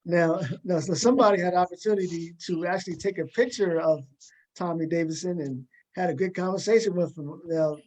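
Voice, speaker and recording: Higher-pitched man hosting a casual talk show. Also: fairly quick, darkish tone, rough recording. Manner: conversational, repeats words and phrases. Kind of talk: casual interviewing.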